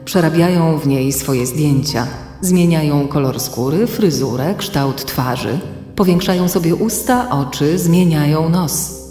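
A woman playing a calm, concerned internet guardian in a children's radio play, explaining things earnestly. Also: low voice, unhurried. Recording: echoey long reverb, faint music.

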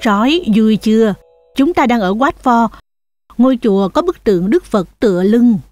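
A woman voicing a patient, cheerful mother goat in a children's cartoon, explaining things in a motherly, gently teasing way. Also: fairly high pitch, animated, moderate pace, dry recording, soft background music, lightly acted. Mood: excited. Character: patient, cheerful mother goat guiding her kids on tours.

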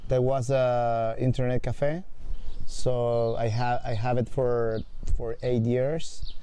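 Mellow, unhurried forties man from Argentina, plain foreman intro outdoors. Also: mid-range voice, level tone, slight background noise. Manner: joking, plain-spoken. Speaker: construction foreman. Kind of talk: casual self-introduction. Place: outdoor garden with a fountain.

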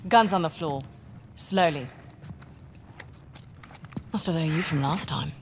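An actress playing a tough, commanding mercenary leader in a game, curt and controlled with quiet menace. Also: female voice, sits low, slow pace, a little hiss or hum. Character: tough, commanding female mercenary leader holding captives at gunpoint.

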